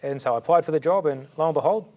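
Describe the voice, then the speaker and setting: A man's voice: a little high, very fast, warm tone. A middle-aged man with an Australian accent, born in India and raised in Sydney, giving earnest, fluent interview answers with a few restarts. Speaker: charity director, guest.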